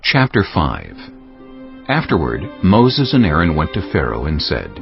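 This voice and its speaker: A man's voice: a bass voice, wildly swooping pitch, measured pace, creaky, soft background music. A man giving scripted scripture narration as the narrator of a dramatized audio production. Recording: muffled, lo-fi.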